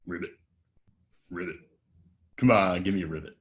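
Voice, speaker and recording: A man's voice: low voice, normal speed, lightly acted. A man at a table read playing a cocky, crude young actor who taunts people and talks himself up. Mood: mocking. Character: cocky, mocking hot-shot young male actor in trouble.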